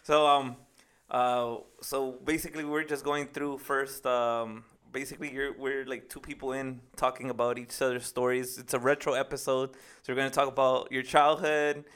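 A man's voice: medium pitch, little pitch movement, normal speed, a bit bright, smooth. A man of thirty-one hosting a casual podcast, loose and laughing, mixing Spanish into his English. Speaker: podcast host. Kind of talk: casual chat.

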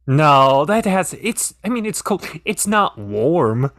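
A man's voice: fairly high pitch, big pitch swings, slow pace, fairly bright tone, dry recording, clean studio sound. Hesitant, rambling male co-host. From Pennsylvania. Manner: hesitant, rambling, many restarts. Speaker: podcast co-host. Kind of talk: casual chat.

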